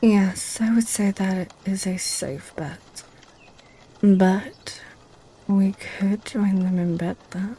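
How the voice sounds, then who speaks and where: Succubus, female performer: playful, possessive temptress, whispery and unhurried, dark-toned ASMR roleplay. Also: mid-range voice. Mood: playful, persuasive. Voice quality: breathy, whispery. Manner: teasing, coaxing, slow.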